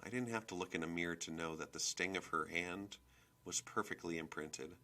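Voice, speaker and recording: A man reading a children's novel aloud as expressive scripted narration. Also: deep voice, fairly quick. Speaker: storybook reader.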